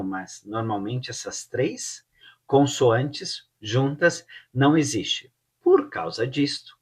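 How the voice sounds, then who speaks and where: Slow, husky Brazilian teacher. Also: male voice, mid-range voice. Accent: Brazilian Portuguese. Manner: slow, drills words by repeating them. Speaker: Portuguese teacher. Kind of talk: pronunciation lesson.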